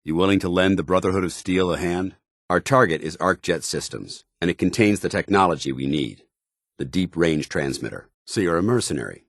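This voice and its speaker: An American actor playing a stern, disciplined armored soldier in a video game, speaking in a formal, clipped, commanding way. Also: male voice, sits low, animated, brisk, dry recording, studio quality. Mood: formal, authoritative. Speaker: video game companion character. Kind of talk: scripted game dialogue and combat barks.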